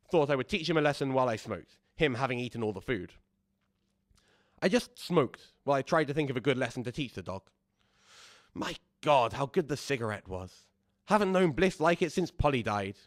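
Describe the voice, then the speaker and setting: A man's voice: medium pitch, dry recording, natural voice. Man acting a rambling, callous old widower, close and dry. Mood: matter-of-fact, then wistful. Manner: rambling, pedantic, self-justifying. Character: callous, aggrieved old widower justifying his petty cruelty. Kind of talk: dramatic radio monologue, confiding storytelling.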